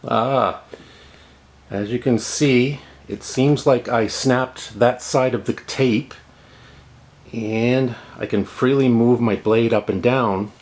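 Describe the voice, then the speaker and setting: A man's voice: medium pitch, steady tone, slow pace, darkish tone. A middle-aged man giving casual hands-on tutorial narration, explaining plainly and repeating words for emphasis. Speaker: host of a how-to tech repair video.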